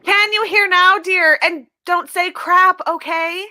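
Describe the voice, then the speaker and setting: A woman's voice: extremely high pitch, steady tone, slow, sharp tone, heavily acted. A woman playing a prissy, motherly, easily shocked committee woman, earnest and chiding, in an amateur play reading. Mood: scolding.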